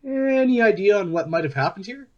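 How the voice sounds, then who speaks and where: A man acting in a radio play as an earnest, probing news podcast host, polished and deliberate in delivery. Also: high voice, animated, a little mellow, highs cut off, soft background music. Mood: probing, skeptical.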